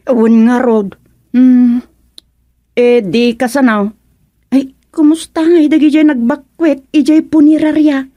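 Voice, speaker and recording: An actress playing an expressive, chatty village wife in a radio comedy drama. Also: female voice, high voice, unhurried, no room sound. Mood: grateful, chatty.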